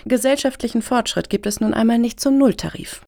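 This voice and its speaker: Earnest, self-important woman activist, played by an actress, mellow and creaky. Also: fairly high pitch, a little fast. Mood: indignant. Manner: formal, wordy, earnest. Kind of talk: satirical radio play acting.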